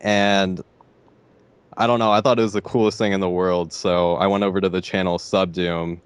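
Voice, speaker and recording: A teenage boy with a deep voice, answering interview questions hesitantly and filling pauses with "like" and "I don't know.". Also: flat delivery, clear, resonant tone, no room sound. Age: teens. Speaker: interviewee, gamer.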